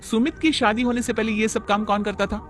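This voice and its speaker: Man doing a high-voiced, fed-up young daughter-in-law. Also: steady tone, brisk, faint music behind, close to an everyday voice. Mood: defiant. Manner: complaining, argumentative. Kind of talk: cartoon moral story acting.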